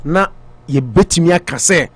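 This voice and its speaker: A man's voice: a little high, lively intonation, normal speed, slightly rough, dry recording. A man with a Ghanaian accent hosting a morning radio show, chatting about sport and mixing Twi with English. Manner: mixes Twi and English, hesitant with fillers. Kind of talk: casual sports talk on radio.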